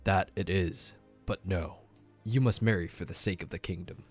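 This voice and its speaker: Man acting a very deep-voiced, stern king. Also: fairly even intonation, normal speed, breathy edge, faint music behind. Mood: firm, measured. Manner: firm, quiet, formal, authoritative. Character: stern, dignified king and demanding father. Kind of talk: fantasy audio drama acting.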